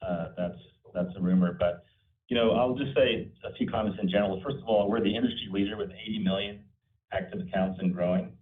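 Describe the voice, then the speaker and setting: A man's voice: lowish voice, steady tone, a little fast. A male company executive giving off-the-cuff answers on an earnings call, often repeating and restarting phrases. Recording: distant mic, muffled phone-like conference line.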